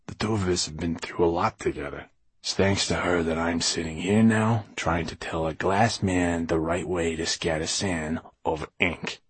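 A man's voice: low-pitched, fairly even intonation, normal speed, slight background noise, lightly acted. A man voicing a vain, irritable old male poet in an audiobook story, lofty and theatrical. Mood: proud. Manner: pompous, theatrical, easily exasperated.

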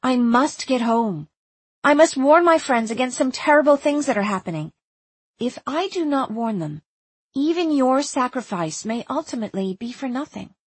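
A woman's voice: high-pitched, expressive, moderate pace, breathy edge, no echo. A woman voicing a desperate, earnest young woman who speaks formally and insistently in an audiobook. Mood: earnest refusal.

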